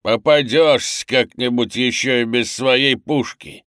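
A man playing a spiteful, taunting young robber with rough street speech in an audiobook. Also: mid-range voice, unhurried, a bit bright, no echo, studio quality, natural voice. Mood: vengeful. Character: menacing squat broad-shouldered young robber, spiteful street thug. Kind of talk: audiobook character acting.

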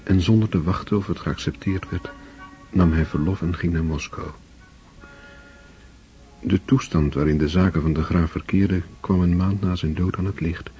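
A man narrating a radio play in a measured, solemn manner. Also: deep voice, mellow, soft background music. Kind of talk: scripted literary narration. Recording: dull lo-fi old radio recording.

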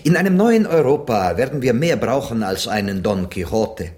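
An actor playing a passionate, philosophical Spanish gentleman of centuries past in a German radio drama, declaiming with Spanish exclamations thrown in. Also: medium pitch, sing-song, normal speed, lightly acted. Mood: musing, fervent.

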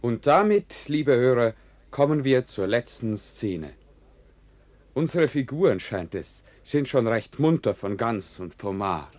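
An actor playing an urbane radio play narrator, speaking in a measured, ironic, mock-courteous way as he questions the hero. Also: mid-range voice, sing-song, a little mellow. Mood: ironic, reassuring.

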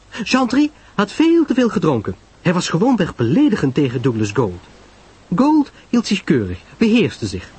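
A man's voice: high voice, darkish tone, breathy edge, no echo, pitched above the performer's own voice, exaggerated voice. A man voicing a gossipy woman in a story, telling scandal with relish.

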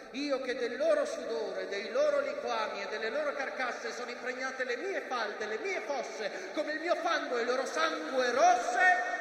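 A stage actor playing a proud, brooding mountain that remembers a war, declaiming emphatically with long dramatic pauses. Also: male voice, extremely high pitch, a bit bright, full character voice. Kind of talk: theatrical stage monologue in rhyming prose. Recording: echoey hall from far off, noisy.

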